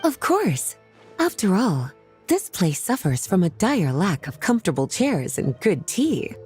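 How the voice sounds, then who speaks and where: A woman's voice: fairly low pitch, very animated, measured pace, a little mellow, faint music behind. A woman playing a languid, teasing lady mage in an anime game, coy and refined in manner.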